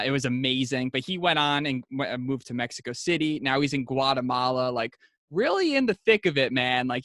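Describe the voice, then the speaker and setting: A man's voice: medium pitch, a bit bright, no echo, studio quality. A man hosting a casual podcast, telling stories in a laid-back, slangy way. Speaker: podcast host.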